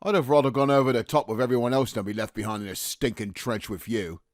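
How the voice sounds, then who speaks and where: A man's voice: medium pitch, quick pace, no echo, lightly acted. A man performing a bitter young Cockney private in a wartime radio play. He is blunt and mocking, with a chip on his shoulder. His voice is mid-range and sounds close and dry, with no room on it. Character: resentful working-class Cockney private, aged nineteen. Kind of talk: audio drama acting.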